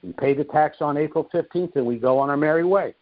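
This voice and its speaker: A man hosting a question-and-answer call, explaining things deliberately and emphatically, repeating his key points. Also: on the high side. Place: conference call. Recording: muffled, narrow-band conference call audio.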